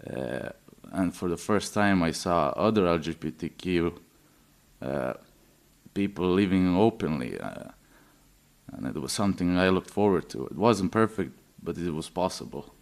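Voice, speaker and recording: A man's voice: low voice, animated, measured pace, vocal fry. A man in his twenties from Serbia, giving a personal testimony hesitantly, with many fillers and restarts. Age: 20s. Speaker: interviewee.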